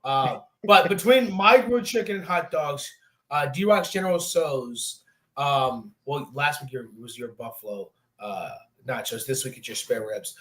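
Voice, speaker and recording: A man's voice: mid-range voice, a little slow, fairly bright tone. A man in his late twenties hosting a casual sports talk show, rambling with lots of fillers. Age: 20s. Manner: chatty, rambling, many fillers. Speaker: podcast host.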